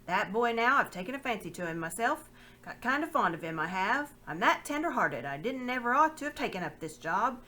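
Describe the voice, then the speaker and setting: A woman's voice: on the high side, sing-song, fairly quick, bright, forceful tone, voice pushed up. A woman voicing a coarse male slave-dealing pirate who talks in a fawning, wheedling, ungrammatical way. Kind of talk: storybook reading.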